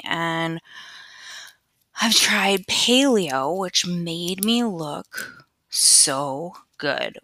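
A young woman talks on her solo podcast in a loose, rambling way, full of "like" and restarts. Her voice sits a bit low for a woman but sounds bright and forceful. The headset mic is close and dry, with no room sound. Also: no room sound. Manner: chatty, rambling, filler-heavy. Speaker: podcast host. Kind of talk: casual solo podcast chat. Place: home, at a PC. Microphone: USB computer headset.

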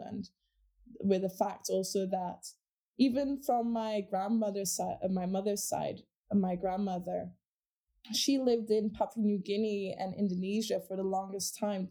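Mellow, reflective woman from Portugal. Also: mid-range voice. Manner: reflective, with frequent restarts and fillers. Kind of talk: casual conversation.

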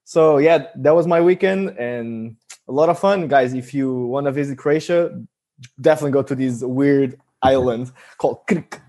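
A man's voice: medium pitch, normal speed, dark tone. A young man co-hosting a podcast over a video call, chatty and easygoing as he tells a travel story. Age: young adult. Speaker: podcast co-host. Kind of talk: casual chat.

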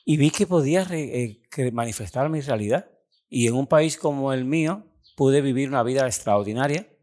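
A man with a Cuban Spanish accent tells his life story as a podcast guest. He sits in the middle of the male pitch range and talks earnestly, pressing on key words and then rushing through asides. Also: darkish tone. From Cuba. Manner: earnest, slow and emphatic, with sudden quick runs. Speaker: podcast guest, personal development coach. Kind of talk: storytelling interview answers.

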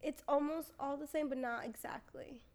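Playful young woman, fairly high voice. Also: fairly even intonation, moderate pace, a little mellow. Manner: teasing, playful. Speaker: podcast guest. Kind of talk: casual chat.

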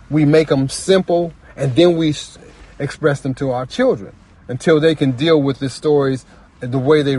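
A man's voice: on the high side, darkish tone, slightly rough, rough recording. A man speaking as a writer and storyteller in earnest, reflective personal talk, repeating phrases for emphasis.

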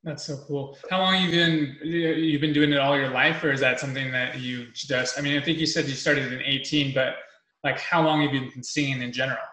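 A man in his thirties hosting a casual podcast interview, talking quickly and rambling, full of fillers and restarts. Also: mid-range voice, steady tone, bright, forceful tone, roomy sound, poor audio. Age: 30s. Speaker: podcast host.

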